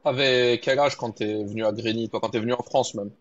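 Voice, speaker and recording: A man's voice: mid-range voice, fairly even intonation, fairly quick. Chatty male podcast host, muffled sound. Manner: friendly, conversational questioning. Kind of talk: casual interview.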